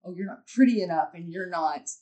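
Lady guest w a deep low voice, kinda rambly and blunt, swears a lot. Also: a little fast, warm tone. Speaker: guest comedian. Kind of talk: casual podcast chat.

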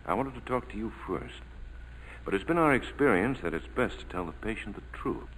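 An actor playing a sober, candid military surgeon in a 1940s radio drama, speaking in a measured way. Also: male voice, on the low side, lively intonation, a bit bright. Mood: grave. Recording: hollow reverberant old radio, faint noise.

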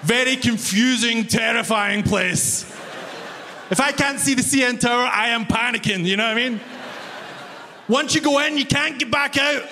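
A man's voice: very high voice, normal speed, bright, forceful tone. A Scottish man from Glasgow with a Glaswegian accent doing a wry, self-mocking stand-up set in a big concert hall, checking in with the crowd. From Scotland. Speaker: stand-up comedian. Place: large concert hall stage, audience laughing. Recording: live hall reverb, faint room noise.

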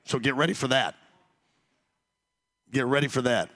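A man hosting a sports talk radio show, hoarse after losing his voice, talking loosely with many restarts. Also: medium pitch, normal speed, fairly bright tone. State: hoarse, lost voice.

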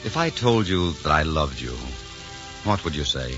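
An actor playing a suave, daring French barber who poses as a duke, gallant, teasing and theatrical in 1940s radio drama style. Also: male voice, rumbling low, big pitch swings, moderate pace. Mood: tender, romantic. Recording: dull 1940s radio recording with music.

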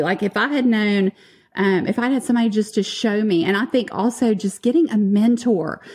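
A woman's voice: medium pitch, darkish tone, slightly rough. A woman speaking as a guest in a casual interview, giving encouraging advice.

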